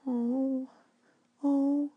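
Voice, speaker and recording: A woman voicing small, excitable schoolchildren in a storybook read-aloud, exclaiming with feeling. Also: high voice, drawn-out words, dull audio, voice pushed up. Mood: dismayed. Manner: exclaiming, eager, emotional.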